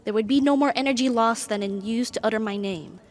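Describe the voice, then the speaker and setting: A proud female demon played by a woman speaks in a fairly high voice for a romantic ASMR roleplay. She is possessive and deeply devoted, choosing formal, dramatic words and setting them down deliberately, with pauses. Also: slight background noise, close to an everyday voice. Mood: insistent.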